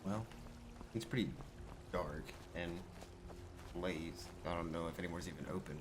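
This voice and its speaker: A man's voice: deep voice, moderate pace, background noise. A man role-playing his character in a video game, improvising casual, polite commentary as he plays. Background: game music.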